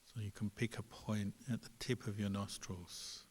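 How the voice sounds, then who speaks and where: Male meditation guide, lowish and very level, calm and unhurried, in long hall reverb. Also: husky. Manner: calm, unhurried, gentle pauses between phrases. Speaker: Buddhist monk, meditation teacher.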